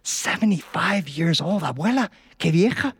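Preteen boy, acted by a male storyteller, high pitch, earnest, worried, insistent, bits of Spanish. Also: expressive, normal speed, breathy edge, long reverb tail. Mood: curious, teasing. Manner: insistent, questioning, some Spanish. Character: earnest, worried boy of about eleven, teasing his grandmother. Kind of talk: live oral storytelling.

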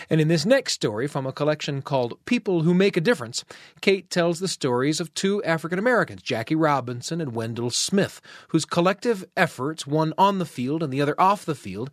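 A man's voice: fairly high pitch, no room sound, clean studio sound. A man hosting a talk and story show, speaking in reflective, conversational phrases as he chats and introduces stories. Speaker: radio show host.

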